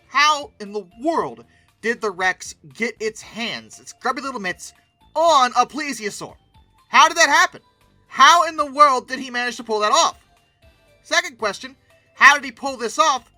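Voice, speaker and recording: An animated host with a very bright, forceful voice, recorded close and dry. The delivery swings widely in pitch, casual and comically incredulous, like someone reacting out loud to pictures. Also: measured pace, dry recording, soft background music. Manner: animated, theatrically exclaiming and incredulous. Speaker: video host commenting on old dinosaur art. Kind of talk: casual humorous reaction commentary.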